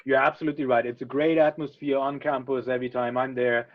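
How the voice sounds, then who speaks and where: A man from Germany hosts an online webinar in a friendly, loose way, often pausing on uh and um. His voice sits mid-range, and the call audio is muffled and lo-fi. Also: mid-range voice, little pitch movement. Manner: friendly, many uh and um fillers. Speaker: webinar host. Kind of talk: casual webinar hosting. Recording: dull lo-fi video call audio.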